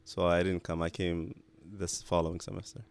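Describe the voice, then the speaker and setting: Man, thirties, from Canada, podcast guest, deep gravelly voice, hesitant casual speech, restarts often. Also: little pitch movement, moderate pace. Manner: hesitant, many restarts, sprinkles Arabic religious phrases. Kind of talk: casual conversational interview.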